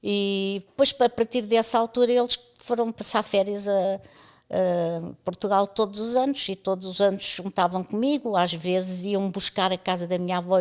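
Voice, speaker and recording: An older woman from East Timor tells her life story to podcast hosts. Her voice sits a little low, and she wanders through her memories in a chatty, reminiscing way, laughing often. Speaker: interview guest, radio pioneer. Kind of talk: storytelling conversation.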